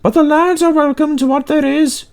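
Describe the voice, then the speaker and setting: A man's voice: very high voice, steady tone, moderate pace, creaky, a little mellow, pitched above the performer's own voice. A man voicing a kindly woman shopkeeper in a children's storybook.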